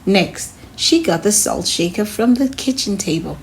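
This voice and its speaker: A woman reading a children's story aloud in an expressive way, speaking to her young listeners as a host. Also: medium pitch, measured pace, vocal fry, a little hiss or hum, poor audio. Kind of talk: storybook read-aloud narration.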